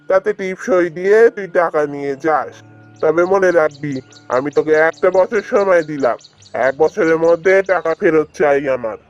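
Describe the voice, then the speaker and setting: A man's voice: on the high side, lo-fi sound, lightly acted. A man voicing a stern, shrewd village landlord in a cartoon fairy tale, talking down in a commanding way. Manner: commanding, addresses villagers as inferiors.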